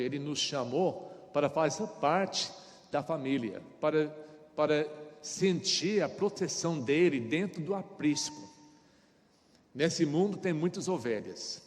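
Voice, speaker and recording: A middle-aged American man preaches a church sermon in a fairly high voice, his words trailing in a long hall reverb. He speaks in short phrases, with the small slips of someone whose first language is English, and often checks in with "tá?". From United States. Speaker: pastor. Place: church sanctuary.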